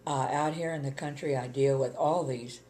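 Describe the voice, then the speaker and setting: An older woman with a gravelly voice, chatting plainly about her life in an interview, with many ums. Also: rumbling low, steady tone, normal speed, warm tone, a little hiss or hum. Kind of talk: casual reminiscing chat.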